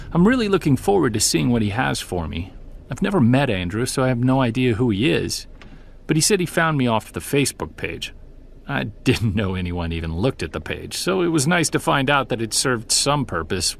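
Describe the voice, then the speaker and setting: An actor playing an earnest, wry Sasquatch investigator who records a conversational field journal for an audio drama. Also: male voice, lowish voice, gravelly, a little hiss or hum.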